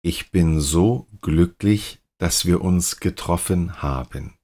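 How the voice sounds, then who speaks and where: A native German man with a very low voice reads short practice sentences for learners. He speaks slowly and deliberately, saying each line several times. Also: warm tone. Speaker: language teacher. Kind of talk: slow repeated example sentences.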